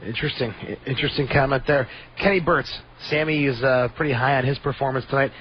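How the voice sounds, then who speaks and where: A man's voice: medium pitch, steady tone, quick pace. A man hosting a sports radio call-in show, upbeat and moving the conversation along. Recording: dull lo-fi radio audio, faint noise.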